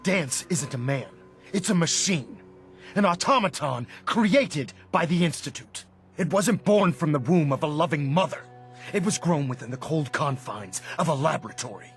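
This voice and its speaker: An actor playing a stern, unforgiving military commander in a video game, oratorical, emphatic and contemptuous. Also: male voice, a little high, animated, normal speed. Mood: righteous contempt. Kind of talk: dramatic video game acting. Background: cinematic music.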